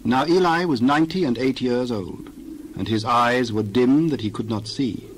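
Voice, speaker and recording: A man reads scripture aloud at a measured, steady pace, voice in a middle register. There is a faint hum underneath. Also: mid-range voice, low-quality recording. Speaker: scripture reader. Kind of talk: dramatized scripture reading.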